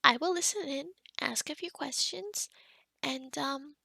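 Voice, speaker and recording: Woman acting a high-voiced, scatterbrained kind witch. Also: unhurried, gravelly, sharp tone, dry recording, studio quality, full character voice. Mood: friendly, helpful. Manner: rambling, muttering to self, whispery asides. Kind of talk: ASMR roleplay.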